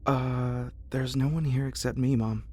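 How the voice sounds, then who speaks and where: A man's voice: mid-range voice, fairly even intonation, measured pace, darkish tone, pitched above the performer's own voice, natural voice. A man voicing an uneasy adult son who hesitantly placates his mother in an ASMR horror story.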